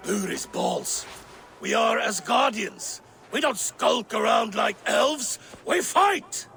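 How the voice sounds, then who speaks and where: Gravelly, hot-headed Norse thunder god. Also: male voice, high voice, lively intonation, slow pace, bright, forceful tone, faint music behind, exaggerated voice. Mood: rallying. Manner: blustering, combative. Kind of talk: dramatic video game acting.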